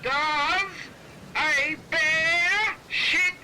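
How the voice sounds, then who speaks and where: A man voicing a dim, cheerful male island manager, with a slow gormless drawl. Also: very high-pitched, bright, forceful tone, a little hiss or hum, voice pushed up, heavily acted, low-quality recording. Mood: dopey.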